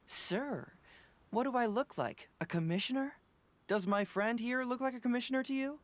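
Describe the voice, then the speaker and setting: A man's voice: very high voice, moderate pace, a little hiss or hum. An actor playing a shrewd young male detective in a video game, probing and playfully skeptical.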